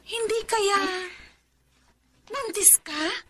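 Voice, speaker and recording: An actress with a Filipino accent playing a curious, concerned friend in a radio drama, chatty and inquisitive. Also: female voice, very high-pitched, very slow, very noisy, full character voice. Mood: hushed, excited. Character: curious, concerned female friend paying a visit.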